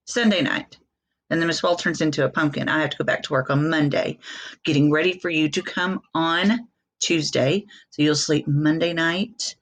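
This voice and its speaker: A middle-aged woman, a teacher talking to young children in a folksy, expressive way full of questions. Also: fairly low pitch. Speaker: elementary school teacher. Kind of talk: read-aloud for young children, chatty asides.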